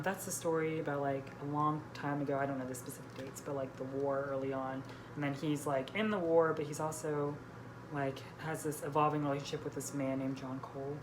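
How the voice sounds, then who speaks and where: A woman hosting a casual book vlog, chatting informally and peppering her sentences with "like". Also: sits low. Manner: frequent "like" as filler. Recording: noisy room background.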